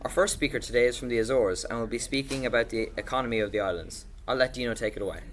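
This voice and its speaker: A man's voice: mid-range voice, quick pace. A young man presenting as a podcast host in a scripted, introductory style.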